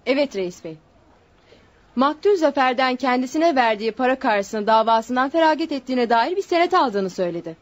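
High-voiced accusing prosecutor woman. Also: expressive, brisk. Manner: formal. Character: accusing female prosecutor in court. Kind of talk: Turkish radio theatre acting. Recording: muffled lo-fi radio drama recording.

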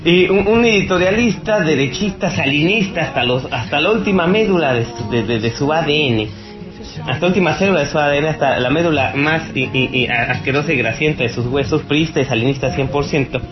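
A man with a Mexican accent giving informal, opinionated political commentary as a radio host, rambling and halting, with blunt scorn. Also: fairly high pitch, dull audio. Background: music.

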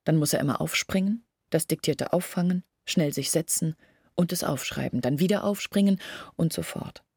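An earnest village woman in a radio play, baring family secrets. Her voice is on the low side and husky, very close and dry. She speaks deliberately, sometimes slipping almost into a whisper. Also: a little mellow, dry recording. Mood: earnest, confiding.

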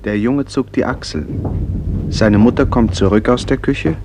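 A man as the sober, measured narrator of a radio play, reading scripted stage directions. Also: medium pitch, lightly acted. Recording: old, noisy radio recording.

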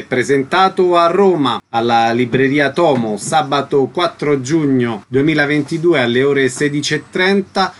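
A man reading a scripted radio announcement in a formal, measured manner. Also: mid-range voice.

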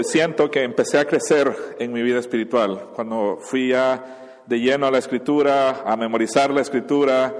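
A man's voice: medium pitch, long reverb tail. A man in his forties from Honduras and Guatemala, a guest pastor giving a conversational personal testimony with fillers and restarts. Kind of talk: personal testimony before a sermon.

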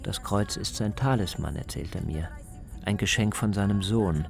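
Low, slightly creaky male narrator. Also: normal speed, over music. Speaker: reporter and narrator of a radio feature. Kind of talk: scripted travel narration.

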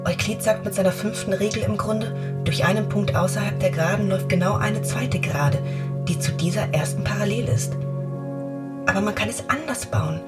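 A woman's voice: mid-range voice, a little fast, close to an everyday voice. Actress as an intense, defiant woman over music. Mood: agitated, fervent. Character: agitated, defiant woman writer lecturing intensely on mathematics. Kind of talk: German radio drama acting. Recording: music behind the voice.

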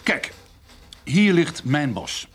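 An actor playing a self-assured village notary in a Dutch radio play, explaining things in a measured way. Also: male voice, on the high side, big pitch swings, exaggerated voice. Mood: assured, matter-of-fact.

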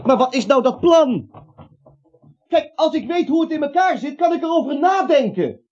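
A man's voice: very high voice, big pitch swings, normal speed, darkish tone. A man playing a timid, grumbling businessman roused at night, stammering and protesting in a comic radio drama. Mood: flustered, grumbling. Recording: dull, lo-fi radio play recording.

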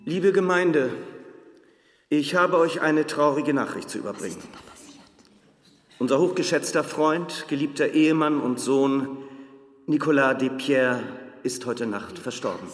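A man's voice: fairly high pitch, unhurried, long pauses, faint music behind, natural voice. An actor playing a solemn village priest formally addressing his congregation in an audio drama. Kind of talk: audio drama acting, funeral announcement. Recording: echoing church acoustic, long reverb.

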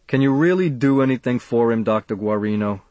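A man's voice: medium pitch, a little fast, darkish tone, no room sound, natural voice. Man playing meek, worried working husband. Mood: doubtful.

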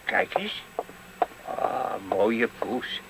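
A man's voice: medium pitch, sing-song, very slow, vocal fry, full character voice. An actor playing a grumbling, leering old man on a bench in a radio play. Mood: nosy. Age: older. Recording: faintly noisy 1970s radio recording.

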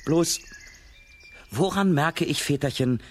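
An actor playing a naive, lazy, good-natured peasant lad in a children's radio play, with a husky, wondering voice. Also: male voice, on the high side, takes it slowly. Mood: easygoing, curious. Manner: wondering, questioning, expressive.

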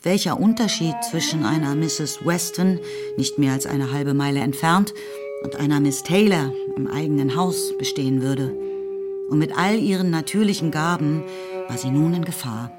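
Female storyteller for a radio drama: deep register, measured and expressive delivery, light music underneath. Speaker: narrator. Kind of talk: literary scripted narration for radio play. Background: faint music.

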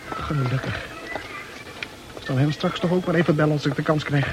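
An actor playing a fretful muttering bachelor. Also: male voice, fairly high pitch, lightly acted. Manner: anxious inner monologue. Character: nervous bachelor muttering his thoughts. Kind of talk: 1960s radio play acting. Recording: noisy old radio play recording.

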